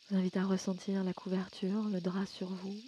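Soft, mellow woman guiding sleep meditation. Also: medium pitch, little pitch movement, slight background noise. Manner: soothing, gentle, unhurried, often breathy near-whisper. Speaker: yoga nidra guide and podcast host. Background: music.

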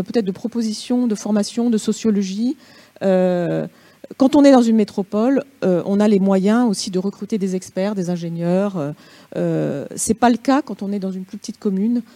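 Female discussion participant, mellow timbre, close dry sound, thoughtful unscripted delivery with pauses. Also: mid-range voice, dry recording. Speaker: participant in a policy workshop. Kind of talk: spontaneous opinion at a public discussion.

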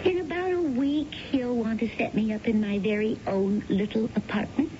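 A woman's voice: fairly high pitch, moderate pace, natural voice. An actress playing a coy, scheming American woman in a theatrical 1970s radio drama style. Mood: wry, skeptical. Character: coy, bubbly scheming woman posing as a widow. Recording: muffled, noisy old radio broadcast.

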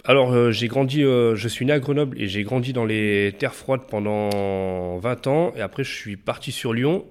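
A man's voice: medium pitch, moderate pace, clean tone. A man in his thirties answering interview questions plainly, with many hesitant fillers. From France. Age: 30s. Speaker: guest.

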